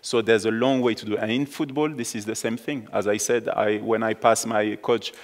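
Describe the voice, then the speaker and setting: A man's voice: fairly low pitch, steady tone, moderate pace. A man with a French accent, a native French speaker, talking on a conference panel, hesitant, with many restarts and fillers. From France.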